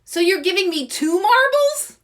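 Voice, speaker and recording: A woman voicing a bold, showy, excitable young female animal in a storybook, grandly announcing with exuberance. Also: extremely high pitch, unhurried, voice pushed up, exaggerated voice. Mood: delighted.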